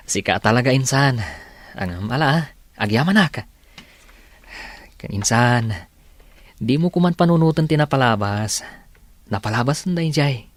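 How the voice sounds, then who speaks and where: Animated Ilocano young husband, played by a man. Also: mid-range voice, measured pace, pauses often, no room sound, lightly acted. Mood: reassuring. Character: Oliver, warm forgiving young husband and cousin. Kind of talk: Ilocano radio drama acting. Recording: music bed under radio drama dialogue.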